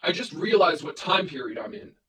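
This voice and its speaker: Brief: a woman doing a defiant young male vigilante, gruff and blunt, lively pitch swings, roomy and distant sound. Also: brisk, a little hiss or hum. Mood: urgent.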